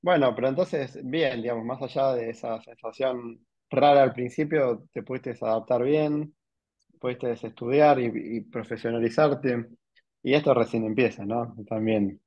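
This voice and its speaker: A man with an Argentine accent hosts a relaxed podcast interview, thinking out loud before his questions. His voice sits at a middle pitch. The recording sounds somewhat dull and lo-fi. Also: normal speed. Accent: Rioplatense Spanish. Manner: musing, rambling questions with many "¿no?". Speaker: podcast host. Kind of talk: casual interview.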